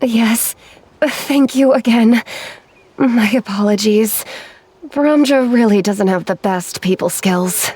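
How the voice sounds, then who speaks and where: A woman voicing a polite, apologetic young adventurer in a video game, speaking in a measured way. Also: a little high, a little mellow, a little hiss or hum. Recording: faint background music.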